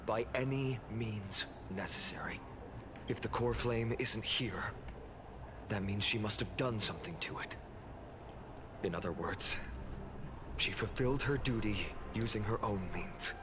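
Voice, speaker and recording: A man playing a calm, trusting young leader in a video game, earnest and measured. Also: lowish voice, noisy background, close to an everyday voice. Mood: calm, reassuring.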